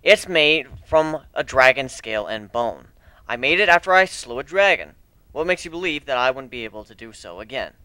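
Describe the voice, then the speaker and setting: A man's voice: mid-range voice, sing-song, normal speed, pushed and bright, clean tone. A man playing a cocky griffin pirate captain and dragon slayer, speaking in a boastful, commanding, emphatic way. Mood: boastful, menacing.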